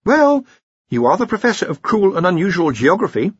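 A man's voice: high voice, very animated, a little fast, breathy edge, dry recording, highs cut off, voice pushed up, full character voice. A man voicing an earnest, apologetic young male wizard in an audiobook, explaining things with hesitant deference. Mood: nervous, coaxing. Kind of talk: audiobook character voice.

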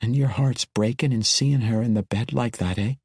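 A man voicing a young female dwarf warrior who talks in a rough dwarven dialect and presses with blunt questions. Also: mid-range voice, normal speed, a little mellow, dry recording, clean studio sound, pitched above the performer's own voice. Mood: probing.

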